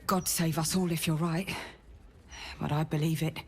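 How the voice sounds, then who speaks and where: An actress playing an earnest, dogged city guard investigator in a video game, with an intense, deliberate, confiding delivery. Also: female voice, sits low, steady tone, a little mellow. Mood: intense, intrigued. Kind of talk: dramatic game dialogue. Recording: background music under the voice.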